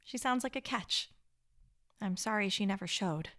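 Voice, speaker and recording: A woman's voice: mid-range voice, moderate pace, fairly bright tone, natural voice. A woman playing an earnest, principled, argumentative survivor in a radio play. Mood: subdued, confiding. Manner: earnest, pointed.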